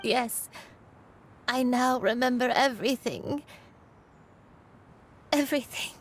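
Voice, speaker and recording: Gentle old grandmother, soft apologetic tone, slow pace, husky voice. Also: fairly high pitch. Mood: tender. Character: elderly grandmother regaining lost memories, gentle.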